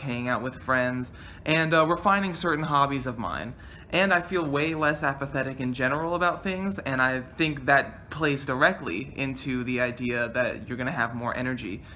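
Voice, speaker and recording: A man's voice: mid-range voice, bright, forceful tone. A man in his twenties talking casually to camera from a small bedroom, earnest and thinking aloud with frequent ums. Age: 20s. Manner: gestures a lot with his hands. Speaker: vlogger.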